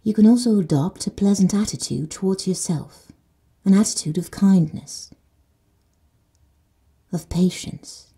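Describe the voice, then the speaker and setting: A woman leads a guided sleep meditation in a slow, soothing manner. She often drops to soft whispers. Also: medium pitch, breathy edge. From Netherlands.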